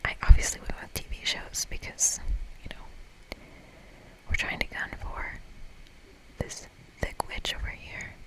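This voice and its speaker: A woman's voice: measured pace, poor audio. A woman whispering in a casual, chatty way as she narrates a video game for ASMR.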